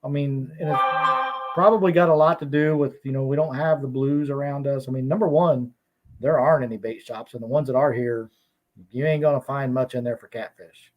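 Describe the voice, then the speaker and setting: A man's voice: medium pitch, steady tone, a little fast, muffled recording. A man talking as a guest on a casual fishing podcast, easygoing, laughing now and then and restarting his sentences. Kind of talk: casual chat.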